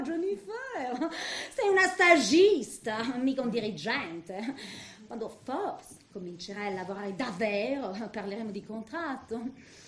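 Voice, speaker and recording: A woman's voice: high-pitched, full character voice. A woman playing a vain, snobbish, flirtatious businesswoman in a comic stage monologue, theatrical and gushing, dropping in French exclamations. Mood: mocking, haughty. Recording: roomy, echoing sound.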